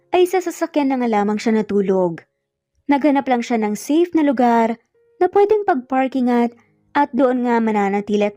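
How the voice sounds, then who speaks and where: A woman's voice: on the high side, mellow, dry recording, clean studio sound. A woman reading a scripted romance story as its narrator. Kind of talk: scripted narration of a Tagalog romance audio story, voicing the characters' dialogue. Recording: muffled lo-fi audio, music bed behind. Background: background music.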